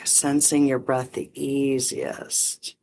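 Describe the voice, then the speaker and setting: A meditation teacher guiding breath and visualization in short, gently permissive phrases with long pauses. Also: mid-range voice, level tone, vocal fry, slight background noise. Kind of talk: guided meditation, unhurried instruction.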